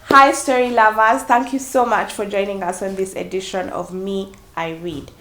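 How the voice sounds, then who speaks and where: A woman's voice: mid-range voice, steady tone, normal speed, fairly bright tone. A woman hosting a children's reading show, addressing viewers in a presenter's style. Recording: echoey room with faint background noise.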